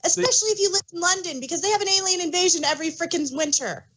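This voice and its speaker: A woman's voice: very high voice, racing, a bit bright. A woman co-hosting a podcast in casual chat, tossing in wry, playful asides. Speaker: podcast co-host. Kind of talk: casual chat, joking banter.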